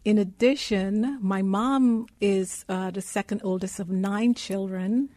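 Mellow middle-aged woman telling her story. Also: medium pitch, steady tone, slow, no echo, studio quality. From Guyana. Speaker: interview guest, consulting executive. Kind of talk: personal storytelling in an interview.

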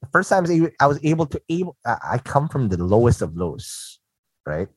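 A man from the Philippines who speaks with an American-sounding accent he learned at a call center, hosting a casual interview with emphatic, animated delivery. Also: mid-range voice, normal speed, slightly rough, no room sound. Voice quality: animated, emphatic, laughs often. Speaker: podcast host.

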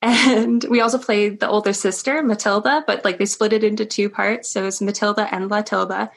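Young woman, gravelly fry, chatty interviewee with easy laughs. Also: medium pitch, steady tone, a little fast. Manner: chatty, laughs often, many ums. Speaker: interview guest, stage performer. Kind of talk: casual interview chat.

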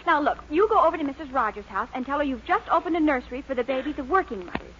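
An actress playing an excitable, resourceful young daughter in a 1940s radio sitcom. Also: female voice, high voice, racing. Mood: scheming. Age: young adult. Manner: eager, exclamatory. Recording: muffled, noisy old radio recording, audience.

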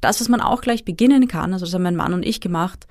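Low-voiced woman explaining finance. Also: fast, darkish tone, no echo, studio quality. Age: 30s. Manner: explanatory, methodical. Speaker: finance expert guest. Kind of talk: interview answers.

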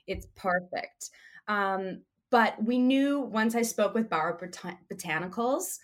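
A woman speaking as an interview guest, relaxed and chatty, with a hoarse voice. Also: mid-range voice, slow pace. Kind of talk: casual interview chat.

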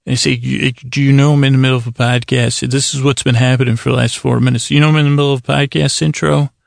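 Gravelly, rambling man, dry close recording. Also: mid-range voice, level tone, dry recording. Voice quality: gravelly, creaky. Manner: halting and digressive, trailing off and circling back on words. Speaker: host of a bedtime story podcast. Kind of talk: rambling, meandering solo podcast monologue meant to lull listeners to sleep.

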